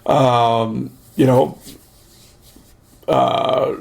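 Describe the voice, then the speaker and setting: A man hosting his own podcast in a casual, rambling solo chat, joking around with lots of fillers and clearing his throat because he is losing his voice. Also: mid-range voice, steady tone, extremely slow. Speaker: podcast host.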